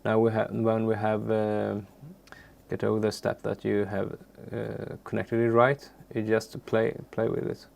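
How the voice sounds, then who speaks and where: A man from Sweden with Swedish as his first language talks through a music software tutorial. His voice is lowish and heavily creaky, and he goes slowly, searching for words in a modest, informal way. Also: monotone. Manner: halting, searching for words, unassuming. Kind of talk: informal screen tutorial, unscripted. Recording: faint background noise, keyboard clicks.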